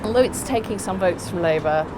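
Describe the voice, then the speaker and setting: A middle-aged woman answering interview questions in a measured, analytical way, in a small campaign office. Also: mid-range voice, noisy background. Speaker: local interviewee.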